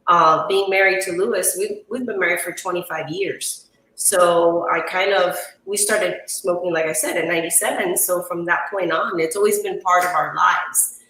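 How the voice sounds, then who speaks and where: A woman's voice: lowish voice, steady tone, moderate pace, fairly bright tone. A middle-aged woman from Chile who grew up in Miami, chatting casually and telling personal stories as a show guest. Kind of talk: casual chat, personal storytelling. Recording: roomy echo, distant from mic.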